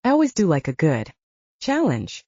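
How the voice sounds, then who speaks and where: A menacing male werewolf alpha from an audiobook, read by a woman, smug and sneering. The voice is mellow and goading, with swinging intonation. The sound is slightly dull. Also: on the low side, wildly swooping pitch, normal speed, husky, dry recording, heavily acted. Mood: smug, threatening.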